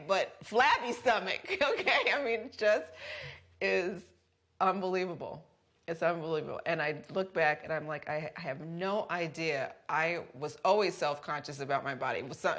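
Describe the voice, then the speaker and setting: A woman with a notably low voice tells her story animatedly. She mocks herself with humor. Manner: animated, self-mocking. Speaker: interviewee. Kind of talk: personal reflection.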